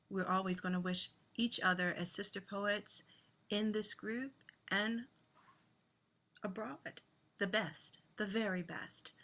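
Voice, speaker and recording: A woman with a soothing voice hosting an online poetry event over a video call, speaking in a gentle, reassuring and sincere way. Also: mid-range voice. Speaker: host and poet.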